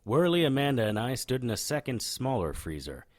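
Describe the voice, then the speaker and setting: An actor playing a hard-boiled occult private investigator in a noir audio drama, with a deadpan, wry manner. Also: male voice, medium pitch, fairly quick, natural voice. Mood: deadpan, brooding. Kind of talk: noir radio drama acting with first-person narration.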